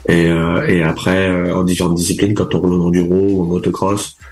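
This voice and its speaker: A man's voice: deep voice, level tone, a little fast, darkish tone, soft background music. A young man, an endurance motorcycle racer, answering interview questions casually, with many fillers and restarts. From France, raised in Charente from age four. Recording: roomy, echoey sound.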